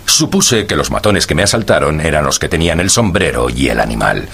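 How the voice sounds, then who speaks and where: A courteous, down-at-heel stout old gentleman for radio drama; low, husky, very expressive. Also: a little fast, a little hiss or hum, full character voice. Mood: polite, rueful. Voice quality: gravelly, husky. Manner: courteous, wordy, formal. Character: genial, impoverished older gentleman, stout, courteous and talkative.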